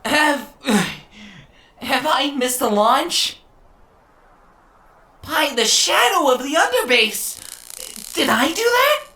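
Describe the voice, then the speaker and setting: High, panicky little robot. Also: female voice, expressive, takes it slowly, pauses often, pushed and bright, echoey room, a little hiss or hum, heavily acted. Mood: bewildered, panicked. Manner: anxious, repeating, wailing. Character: nervous, naive, easily panicked little robot. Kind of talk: comic book dub acting.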